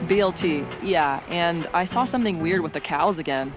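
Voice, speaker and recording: A woman plays an offbeat, curious small-town woman in an audiobook drama. She is understated and a little hesitant. Music plays clearly behind her. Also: fairly low pitch, normal speed, natural voice. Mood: low-key. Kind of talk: audiobook character dialogue.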